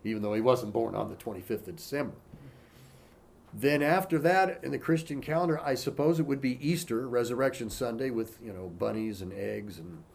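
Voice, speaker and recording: A man of middle pitch teaching a congregation in an easygoing, conversational manner. He reads scripture aloud, then drifts into loose asides full of "you know" fillers. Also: fairly quick, vocal fry, darkish tone, a little hiss or hum. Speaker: pastor.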